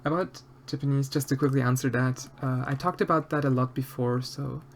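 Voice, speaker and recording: A man's voice: mid-range voice, steady tone, brisk, a little hiss or hum. A man from Austria with an Austrian German accent, chatting hesitantly with viewers of his live stream, full of fillers and restarts. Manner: hesitant, many fillers and restarts, soft-spoken. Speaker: streamer answering chat while sculpting. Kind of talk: casual live-stream chat.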